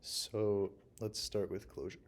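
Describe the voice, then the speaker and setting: A young man with a hoarse, froggy voice from a sore throat, giving a halting, unscripted class presentation as a university student. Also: lowish voice, fairly even intonation. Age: young adult. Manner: halting, unscripted, frequent pauses. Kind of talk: recorded class presentation, screen walkthrough.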